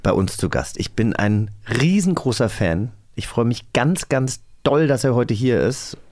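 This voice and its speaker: A man's voice: mid-range voice, expressive, a little slow, darkish tone. A man chatting casually and enthusiastically as a podcast host. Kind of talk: casual chat.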